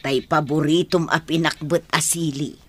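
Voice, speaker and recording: A woman's voice: low-pitched, fairly even intonation, normal speed. A woman with an Ilocano Filipino accent playing a caring, worried older mother and grandmother in a radio drama, coaxing and soothing. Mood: gentle reassurance.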